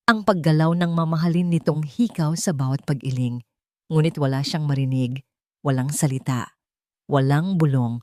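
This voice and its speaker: A woman with a Filipino accent reading a dramatic story as a narrator, in measured, deliberate phrases. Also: low voice, a little mellow, no echo, clean studio sound. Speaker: audiobook narrator.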